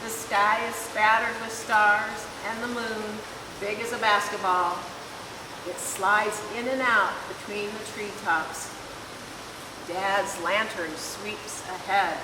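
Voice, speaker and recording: A woman reading a children's picture book aloud in an expressive, deliberate storytelling manner. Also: mid-range voice. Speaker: volunteer story reader. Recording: echoey hall, distant mic, clear hiss.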